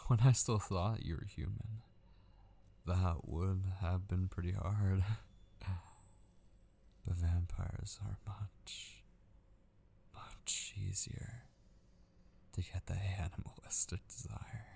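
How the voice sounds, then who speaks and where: A man playing an obsessive, possessive kidnapper in an intimate ASMR roleplay, gleefully menacing and patient, slow and teasing, drifting toward whispers. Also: steady tone, warm tone, slight background noise, heavily acted. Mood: patient, threatening. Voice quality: low, creaky.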